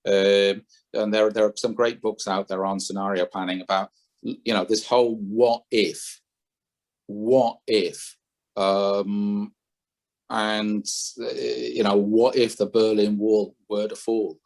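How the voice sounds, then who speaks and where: A man's voice: on the low side, little pitch movement, slow, vocal fry, darkish tone. A man, a former head trader, speaking as a podcast guest, opinionated and thinking aloud, often correcting himself mid-sentence. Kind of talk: conversational interview.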